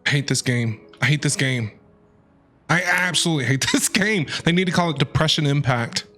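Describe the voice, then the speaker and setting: A man's voice: a little high, expressive, brisk, bright, forceful tone, faint music behind. A man reacting live to a video game as a streamer, talking back to it in exasperated slang.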